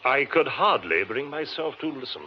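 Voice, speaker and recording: Pompous, touchy theatre grandee played by a man, British, theatrical, very animated, muffled noisy radio recording. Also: mid-range voice, moderate pace, natural voice. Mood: indignant. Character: pompous, touchy, self-important male stage actor. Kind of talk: British radio drama acting. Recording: muffled radio drama recording, clear background hiss.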